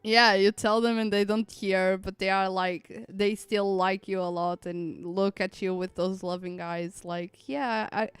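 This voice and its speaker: A woman's voice: mid-range voice, steady tone, a little slow, few pauses, smooth, dry recording. A young woman streaming her reaction to a game, chatty, giggly and playful. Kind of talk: casual reaction commentary.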